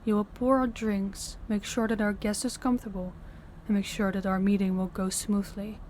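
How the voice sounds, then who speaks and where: A woman's voice: mid-range voice, flat delivery, moderate pace, a little mellow, slight background noise, lightly acted. A woman playing a dominant mafia boss in an audio roleplay, stern and condescending, giving orders and patronizing praise. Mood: strict, instructing. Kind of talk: audio roleplay addressed to the listener.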